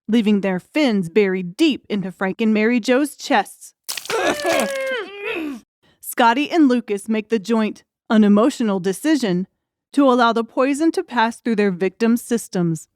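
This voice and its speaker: A woman's voice: medium pitch, darkish tone, no echo, clean studio sound. A woman reading scripted, dramatic audiobook narration.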